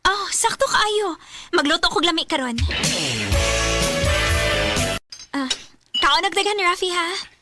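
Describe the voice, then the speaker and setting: A woman's voice: extremely high pitch, moderate pace, loud music behind the voice. A woman playing a young married elder sister in a radio drama, protective and coaxing in a conversational way. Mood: bustling.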